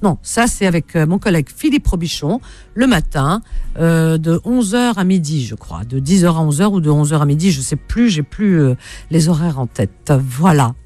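A woman's voice: sits low, animated, moderate pace, dark tone, dry recording. A woman hosting a radio call-in, chatting casually and familiarly, often repeating back what she is told. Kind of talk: casual live radio chat.

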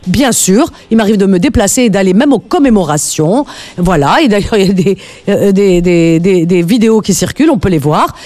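A woman's voice: medium pitch, animated, brisk, warm tone. A woman hosting a live radio call-in, speaking in an earnest, emphatic way and repeating phrases for emphasis.

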